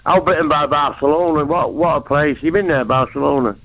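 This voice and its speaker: A man's voice: fairly high pitch, fairly quick, a bit bright, slight background noise, rough recording. A man with a Mancunian accent rambling from topic to topic on a phone-in call. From England. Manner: rambling, jumps between topics. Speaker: caller.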